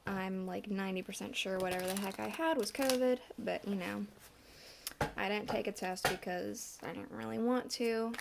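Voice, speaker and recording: A woman giving a casual tarot reading, still congested, with a slight cough and a bit winded, talking in a relaxed, chatty way with many fillers. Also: mid-range voice, normal speed, vocal fry, background noise. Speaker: tarot reader.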